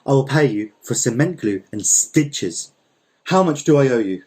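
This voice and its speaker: A man voicing a domineering, furious male landowner who hurls insults in a storybook reading, his voice husky. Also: medium pitch, animated, moderate pace, darkish tone, close to an everyday voice. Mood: furious, bossy.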